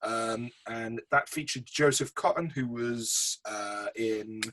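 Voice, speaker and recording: A man's voice: medium pitch, slow pace, sharp tone, a little hiss or hum. A man co-hosting a film review podcast, chatting casually in a rambling, matey, informal way.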